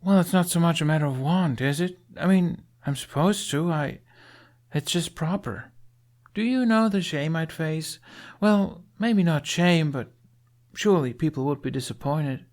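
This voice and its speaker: A man voicing a proud, conflicted young Viking warrior woman in an audiobook, with emphatic, drawn-out delivery. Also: a little high, a little mellow, pitched above the performer's own voice. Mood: flustered, defensive.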